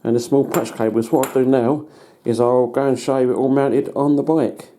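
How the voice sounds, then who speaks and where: A British man giving a casual hands-on demonstration as a hobbyist, in a halting, matter-of-fact way. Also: medium pitch, steady tone, dark tone. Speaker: hobbyist presenter. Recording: noticeable background noise.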